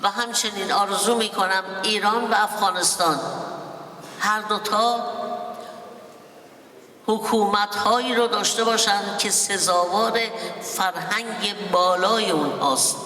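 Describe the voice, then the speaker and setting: A man giving a formal speech at a ceremony, speaking rapidly and pausing for an interpreter. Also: high voice, steady tone, sharp tone. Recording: echoey hall from far off.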